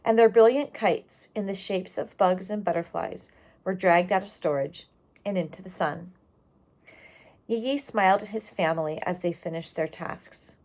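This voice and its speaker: A woman reading a storybook aloud, expressive and explanatory, pausing on key words and adding asides for young listeners. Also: mid-range voice.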